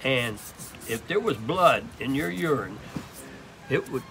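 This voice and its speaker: An older man demonstrating woodworking in an open workshop, talking in a halting, rambling way full of restarts. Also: mid-range voice, animated, gravelly, over music, low-quality recording. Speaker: woodturner demonstrating. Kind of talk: casual hands-on demonstration.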